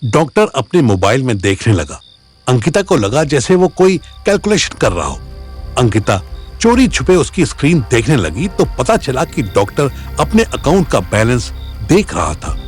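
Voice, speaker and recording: Indian male narrator for scripted audio drama, mid-pitched, hugely animated delivery. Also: normal speed, faint music behind.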